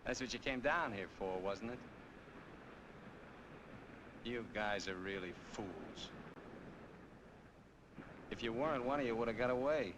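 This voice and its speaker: A man's voice: mid-range voice, a little slow, long pauses, lightly acted. An actor playing a sneering American gunman in a noir film, terse and taunting as he orders his hostages around. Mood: mocking. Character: menacing, sneering male gunman holding men hostage. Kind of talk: 1950s film noir acting. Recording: noisy old film soundtrack.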